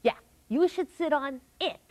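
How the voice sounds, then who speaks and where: Woman doing a sly little trickster, high voice, wheedling, coaxing, storybook. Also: unhurried, a little mellow, no echo.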